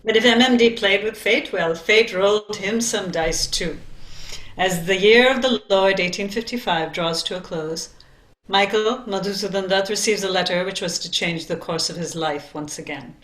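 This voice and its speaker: A woman narrating a biographical play, telling the story deliberately and expressively with dramatic emphasis. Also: medium pitch, a bit bright, roomy sound, low-quality recording.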